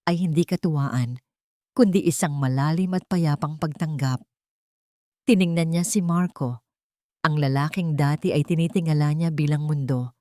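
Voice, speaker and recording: A woman narrating a dramatic love story in a measured, emotive storytelling style. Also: low voice, mellow, no room sound, clean studio sound.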